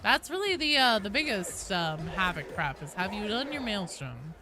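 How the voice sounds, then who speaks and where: A woman's voice: mid-range voice, big pitch swings, moderate pace. A woman livestreaming a video game, chatting casually and animatedly with viewers, swearing freely and greeting people as they come. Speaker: game streamer and host. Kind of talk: casual livestream chat over gameplay. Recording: clear background game noise. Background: video game sounds.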